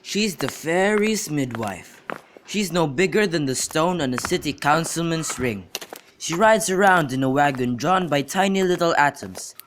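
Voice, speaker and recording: A young man in an amateur radio drama, playing a witty, teasing young gentleman and declaiming in a bantering way. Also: on the high side. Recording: clearly noisy amateur recording with clicks.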